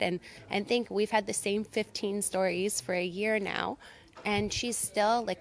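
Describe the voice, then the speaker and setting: A woman's voice: medium pitch, gravelly. Upbeat woman in an interview. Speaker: product creator being interviewed. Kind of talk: conversational interview answers.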